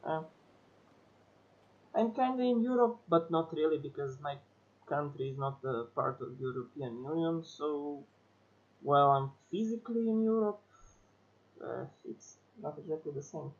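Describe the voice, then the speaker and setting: A man from Serbia streams, talking very slowly and hesitantly as he thinks aloud. His voice sits a bit high, and the mic sounds slightly dull, with faint game music underneath. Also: expressive. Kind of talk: casual stream chat.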